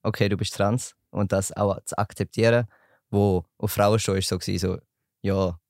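A young man from St. Gallen with a Swiss German accent, a podcast guest chatting in a relaxed, joking way. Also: lowish voice, moderate pace, vocal fry, dry recording, clean studio sound. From St. Gallen, Switzerland. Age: young adult. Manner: relaxed, joking, laughs while talking. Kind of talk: casual podcast chat.

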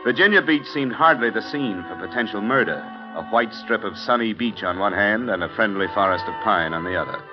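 A man's voice: fairly low pitch, lively intonation, fairly quick, hardly any pauses, close to an everyday voice. An actor playing a hardboiled male insurance investigator in a 1950 radio drama, dry and sardonic. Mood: narrating. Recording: dull 1950 radio transcription with music.

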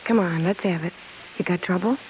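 An American actress playing a spirited, wounded star wife in a 1950s radio drama, emotional and slangy, by turns brassy and pleading. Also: female voice, on the low side, a little fast, darkish tone, natural voice. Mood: concerned. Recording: muffled, hissy 1950s radio broadcast.

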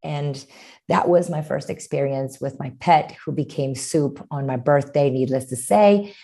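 A woman in her fifties from Romania, a pediatrician guest telling stories in a chatty, animated way. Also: low voice, unhurried, gravelly. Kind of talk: interview storytelling.

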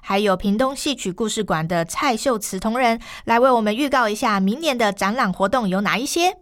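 A woman's voice: a little high, lively intonation, fairly quick. A woman with a Taiwanese Mandarin accent hosting a local culture and travel radio program.

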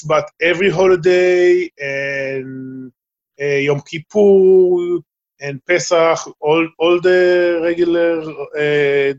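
Halting Israeli man on a muffled line. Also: fairly high pitch. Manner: halting, searching for English words, frequent fillers. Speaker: guest. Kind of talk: remote interview conversation.

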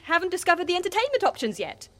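Flippant captive heroine, high register, bouncy intonation, audio drama in an echoing space. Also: female voice, huge pitch swings, racing, a bit bright, heavily acted. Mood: flippant, breezy. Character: chirpy, flippant woman adventurer held captive. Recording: long echoing reverb.

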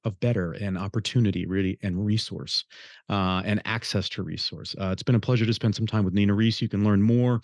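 Low-voiced man hosting an interview. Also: steady tone, fairly quick, darkish tone, dry recording. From Detroit area, USA. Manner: rambling, searching questions with restarts. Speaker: podcast host and interviewer. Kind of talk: conversational interview.

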